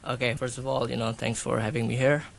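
Gravelly-voiced man telling his story. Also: medium pitch, flat delivery, fast talker. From Hong Kong. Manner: rambling, many fillers and restarts. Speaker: guest, bodybuilder and personal trainer. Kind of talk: casual interview answers.

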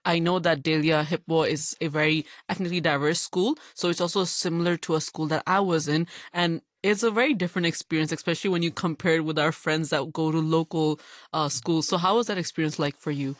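A young woman with a lowish voice co-hosts, asking questions in a measured, curious way. The sound is close and dry. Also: fairly even intonation, no room sound. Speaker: co-host. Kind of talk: podcast interview hosting.

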